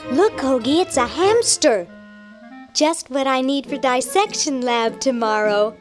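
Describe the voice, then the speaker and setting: A woman's voice: high-pitched, animated, a little slow, over music. A woman playing an eccentric, morbid young student in a cartoon adventure game, with a drawling delivery. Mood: morbid curiosity. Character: odd, morbid young female medical student.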